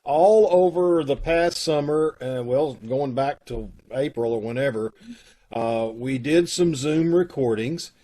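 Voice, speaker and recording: A man's voice: mid-range voice, a little slow, warm tone, rough recording. Folksy man hosting a video call. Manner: folksy and rambling, with many fillers. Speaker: host of a community theater troupe. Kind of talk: casual live video-call chat. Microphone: video call from home.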